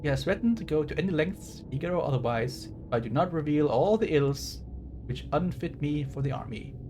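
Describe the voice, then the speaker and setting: A man giving bookish, wry commentary as a game streamer, sometimes reading passages out. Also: brisk. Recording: clear rumbling background noise.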